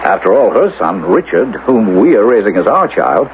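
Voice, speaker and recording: Urgent doctor, tinny old radio. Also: male voice, on the low side, sing-song, normal speed. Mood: urgent, hopeful. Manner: urgent, persuasive, reassuring. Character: determined doctor and father scheming to save his son. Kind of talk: old-time radio drama acting. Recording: tinny, band-limited, hissy old radio recording.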